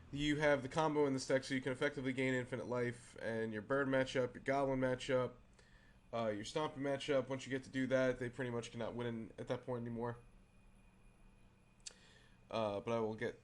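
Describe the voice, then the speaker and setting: A man with a raspy voice who is clearly under the weather hosts a casual card game show. He walks through a deck at a middling pitch, hesitating often with fillers and restarts. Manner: halting, many fillers and restarts. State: unwell, raspy voice. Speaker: host.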